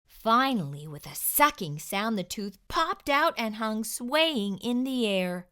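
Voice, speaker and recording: A woman hosting a children's storytime, reading a picture book aloud in an expressive narrating style. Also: a little high, takes it slowly. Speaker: children's storytime host and reader.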